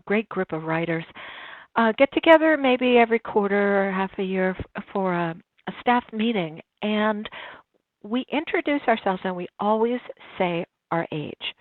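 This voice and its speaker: A woman's voice: medium pitch, expressive, normal speed, dry recording. Woman in her sixties on a tinny line. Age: 60s. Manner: reflective, warm storytelling with frequent restarts. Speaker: guest. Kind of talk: casual interview conversation. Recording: tinny phone-line sound, dry.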